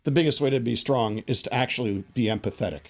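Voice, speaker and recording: A man's voice: mid-range voice, fast talker, gravelly. A man hosting a business radio show, reflecting on his guest's answers and reading sponsor thanks. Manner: reflective, summarizing. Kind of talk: interview and sponsor reads.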